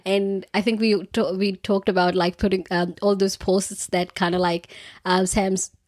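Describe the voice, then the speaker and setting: A woman's voice: medium pitch, little pitch movement, moderate pace, darkish tone. A young woman with a Sri Lankan accent co-hosting a podcast in casual, chatty talk, laughing often and full of fillers. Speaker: podcast co-host. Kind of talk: casual chat.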